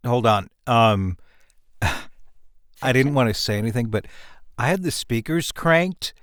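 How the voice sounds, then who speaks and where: A man's voice: mid-range voice, animated, a little slow, fairly bright tone, no room sound, clean studio sound. A man talking casually in a group discussion among a show's team. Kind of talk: casual chat.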